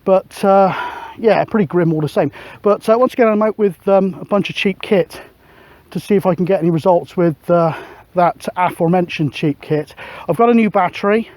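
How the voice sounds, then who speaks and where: A man's voice: sits high, normal speed, a little mellow, no echo. A middle-aged man, chatting casually and matily as he vlogs outdoors. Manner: chatty, matey. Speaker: vlogger and CB radio hobbyist. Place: outdoors on a windy hill. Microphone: camera microphone.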